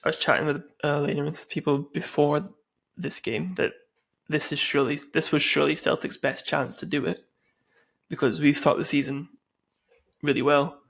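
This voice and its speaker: A man chats about football as a podcast co-host. His voice sits a little higher than most men's and crackles with fry, in a loose, conversational style. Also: fairly even intonation, normal speed, a bit bright. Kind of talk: casual football discussion.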